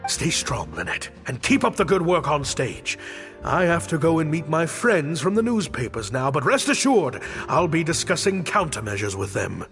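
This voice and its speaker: A man voicing an earnest, gallant gentleman in a video game, emphatic and formal. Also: fairly high pitch, sing-song, moderate pace, soft background music. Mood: reassuring, earnest. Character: earnest, gallant gentleman offering dramatic reassurance.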